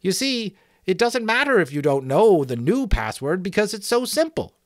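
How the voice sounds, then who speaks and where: A man voicing a simple-minded, obliging outlaw sentry in a high, thin, trembling storybook voice. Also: very animated, normal speed, no echo, studio quality. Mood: soothing. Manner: soothing, simple-minded, chatty.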